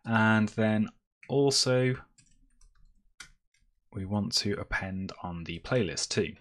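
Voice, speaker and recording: A man's voice: on the low side, takes it slowly, fairly bright tone. A man presenting a screencast tutorial, explaining step by step in a semi-improvised way as he works. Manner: step-by-step, explaining while typing.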